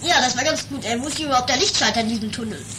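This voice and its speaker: A woman's voice: sits high, racing, background noise, close to an everyday voice. A woman playing a cheeky, naive male character in an improvised comic audio drama, offhand and a little hesitant. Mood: matter-of-fact.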